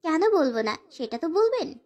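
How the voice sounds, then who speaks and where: নারীকণ্ঠে অভিনীত খুব চড়া গলার দুঃখী তরুণী বউ. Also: female voice, very high voice, big pitch swings, normal speed, a little mellow, muffled recording. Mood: pleading. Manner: formal, submissive address to her husband. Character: young wife and student, timid, sorrowful, pleading narrator. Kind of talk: dramatized first-person romantic story narration.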